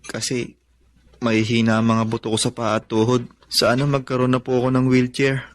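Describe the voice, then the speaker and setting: Man acting a meek, sad teenager, medium pitch. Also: monotone, brisk, no room sound, natural voice. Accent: Filipino. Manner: polite, subdued. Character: meek, sad, bedridden eighteen-year-old child. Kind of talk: Filipino radio drama acting.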